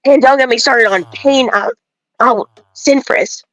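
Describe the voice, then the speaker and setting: A woman's voice: high voice, measured pace, a bit bright, low-quality recording. A woman reading aloud as an opinionated, folksy male bread enthusiast who rambles and grumbles through game dialogue. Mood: grumbling, rambling.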